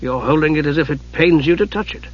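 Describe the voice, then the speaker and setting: A man voicing a tired, dry-humoured archmage uncle, speaking softly and unhurriedly in a fantasy audiobook. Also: on the high side, fast, dull audio, natural voice. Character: weary, dry, soft-spoken male archmage uncle.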